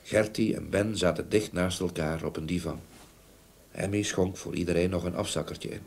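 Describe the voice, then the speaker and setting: An actor playing a grieving, haunted older gentleman in a Dutch radio play, speaking in a halting, brooding way. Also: deep voice, gravelly. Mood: haunted, distraught. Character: grieving, haunted older gentleman seeking revenge for murdered niece. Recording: old radio play recording, background noise.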